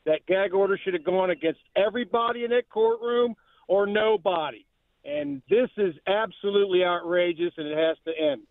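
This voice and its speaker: A man's voice: high-pitched, lively intonation, moderate pace, dry recording. A man calling a talk radio show over a phone line, arguing politics in an indignant, emphatic way. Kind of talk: impassioned political opinion. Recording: tinny narrow phone line.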